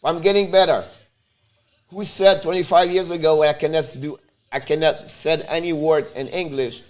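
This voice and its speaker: A man from Montreal whose first language is French, speaking English with a Quebec French accent as he hosts a casual live stream, calling the game and chatting with viewers, with loud sudden outbursts. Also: fairly high pitch, steady tone, normal speed. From Montreal, Canada. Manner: talks straight to viewers. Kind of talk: casual live play-by-play and chat.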